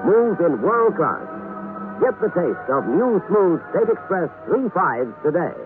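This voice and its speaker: Lively male advertising announcer, old radio. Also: high voice, measured pace, over music. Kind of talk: 1960s radio advertisement read. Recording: muffled old radio recording.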